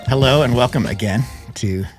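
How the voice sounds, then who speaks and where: A man hosting a casual podcast, a little hoarse from a head cold, chatty and quick to laugh. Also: mid-range voice, faint music behind. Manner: chatty, laughs often, frequent restarts. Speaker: podcast host.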